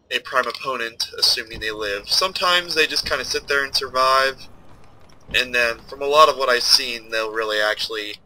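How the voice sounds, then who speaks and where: A man's voice: mid-range voice, sharp tone, slight background noise, poor audio. A young man with a stuffed-up, nasal voice, casually commenting while he plays a strategy game and thinks aloud. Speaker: let's play host.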